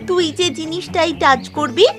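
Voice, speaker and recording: A young cartoon girl with a very high, thin voice. She sounds caring and anxious, often questioning, with music clearly playing behind her. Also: moderate pace. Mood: puzzled. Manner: questioning, concerned. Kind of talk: children's cartoon dialogue.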